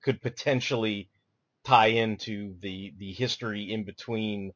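A man co-hosting a podcast in a casual chat, talking in a relaxed, meandering way. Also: fairly low pitch, measured pace, no echo, lo-fi sound. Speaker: podcast co-host.

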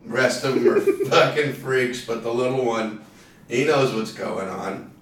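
A man's voice: mid-range voice, a little slow. A man telling casual, laughing stories as a comedy podcast co-host. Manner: laughing storyteller, lots of fillers. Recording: very echoey room, mic at a distance.

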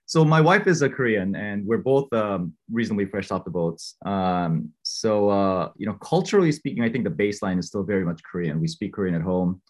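Husky man rambling with fillers. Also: on the low side, expressive, a little fast, few pauses. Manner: rambling, many fillers and restarts. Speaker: watch collector guest. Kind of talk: casual conversational interview. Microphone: remote call with earbuds.

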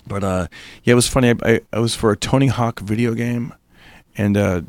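A man who works as an actor, chatting as a guest and often halting and repeating his words. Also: mid-range voice, fairly even intonation, a little mellow, no echo, studio quality. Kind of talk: casual chat.

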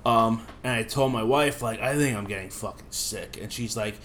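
A man's voice: fairly low pitch, moderate pace, a bit bright, a little hiss or hum. A man with a cough, sick, co-hosting a podcast and rambling casually with frequent swearing. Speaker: podcast co-host. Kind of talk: casual chat.

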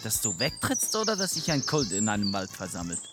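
A man's voice: fairly low pitch, wildly swooping pitch, a little fast, loud music behind the voice. A man playing an earnest, pedantic police commissioner who questions and corrects, in a comic audio drama. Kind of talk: comedic audio drama acting.

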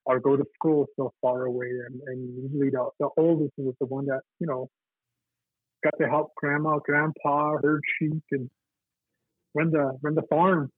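Husky man on a tinny phone line. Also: medium pitch, flat delivery, no room sound. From Navajo Nation. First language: Navajo. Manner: halting, with many fillers and restarts. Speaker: guest. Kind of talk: reflective casual interview talk.

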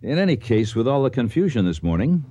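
A man playing a middle-aged archaeologist and expedition leader in a radio drama, speaking in a measured, civil, slightly hesitant way. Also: mid-range voice, sing-song, warm tone, husky, no room sound, lo-fi sound. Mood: concerned, civil. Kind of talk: radio drama acting and narration.

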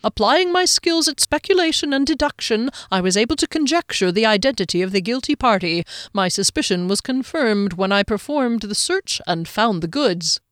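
A smug halfling thief from a fantasy storybook, acted out by a woman narrator. He speaks in pompous, dignified, wordy phrases, lifted in pitch and recorded close and dry. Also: expressive, moderate pace, hardly any pauses, a bit bright, dry recording. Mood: dignified, boastful. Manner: pompous, verbose, formal diction.

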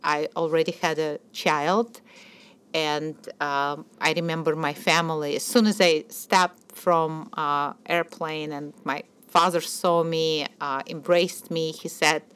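A woman's voice: deep voice, fairly even intonation, slow, fairly bright tone, clear, resonant tone. A middle-aged woman from Ukraine and Belarus, speaking as a radio interview guest and hesitating often. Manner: hesitant, many fillers and restarts. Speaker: guest, theater producer.

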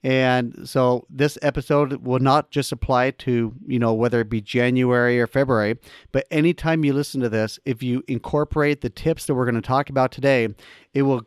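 A man's voice: mid-range voice, steady tone, normal speed, smooth, dry recording, clean studio sound. A young adult man co-hosting a casual podcast, easygoing and steering the talk. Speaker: podcast co-host.

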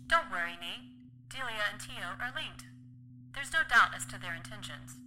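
A woman playing an earnest, protective young heroine in a sci-fi audio drama, gentle and reassuring. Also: medium pitch, lively intonation, moderate pace, slight background noise, poor audio. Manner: pleading, reassuring.

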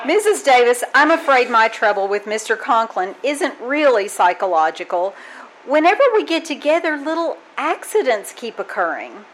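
A woman playing a wry, weary schoolteacher with a deadpan, sardonic delivery in an old-time radio comedy. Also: on the high side, moderate pace, low-quality recording. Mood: resigned. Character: wry, weary female schoolteacher with dry wit. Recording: faint noise, live stage performance.

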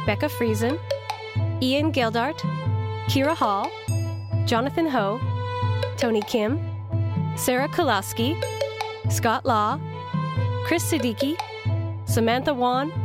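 A woman reading scripted podcast announcements and credits as the show's announcer. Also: a little high. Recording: music behind the voice.

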